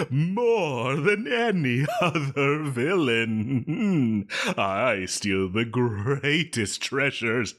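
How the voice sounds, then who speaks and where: A man's voice: medium pitch, fairly bright tone. A man playing a needy, possessive supervillain in a roleplay audio drama, melodramatic and prone to giggles and stammers. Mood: bitter self-pity. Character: male yandere supervillain, theatrical, needy, possessive, self-pitying, lovestruck.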